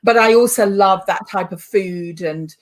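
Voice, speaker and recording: A woman with a British accent speaks as a guest on a podcast. Her pitch is medium. She chats casually, pausing to think and sometimes hesitating over her words. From born in Borneo, moved to the UK. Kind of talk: casual chat.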